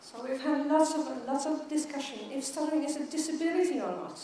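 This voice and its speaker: A middle-aged woman with a Swedish accent speaks English to a conference audience, and she often stutters and repeats her words. Her voice sits high. It comes from a distance in a large, echoing hall, with faint noise underneath. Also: a little fast, warm tone. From Sweden. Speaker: keynote speaker. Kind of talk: conference keynote speech. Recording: echoey hall, mic from far off.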